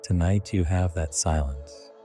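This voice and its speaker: A man narrating a scripted guided sleep meditation in a hushed, deliberate way, in short phrases. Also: low-pitched, faint music behind.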